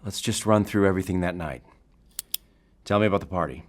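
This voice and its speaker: An actor playing a calm, probing bureau therapist in an audio drama, speaking in a formal, deliberate way. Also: male voice, sits low, lightly acted.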